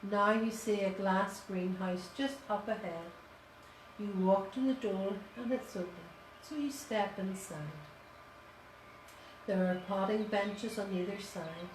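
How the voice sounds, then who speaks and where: A woman reading a guided meditation in a measured way, pausing between short phrases. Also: mid-range voice, a little hiss or hum. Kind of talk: slow guided meditation narration. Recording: roomy echo, distant voice, loud music. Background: music.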